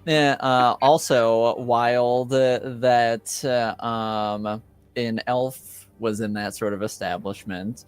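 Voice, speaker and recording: A man running a tabletop roleplaying game, narrating off the cuff in a hesitant, halting way. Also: mid-range voice, steady tone. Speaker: game master.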